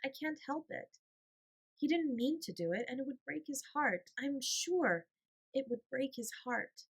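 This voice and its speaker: A woman's voice: high voice, expressive, a little slow, pitched above the performer's own voice. A woman voicing an earnest, tender-hearted little girl, prim and eager, in a storybook reading. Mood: sympathetic. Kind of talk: storybook character voice.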